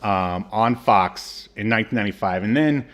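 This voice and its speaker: A man's voice: on the low side, moderate pace, a bit bright. A man in his thirties co-hosting a casual podcast, talking loosely with lots of fillers and restarts. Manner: rambling, many fillers and restarts. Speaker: podcast co-host. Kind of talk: casual chat.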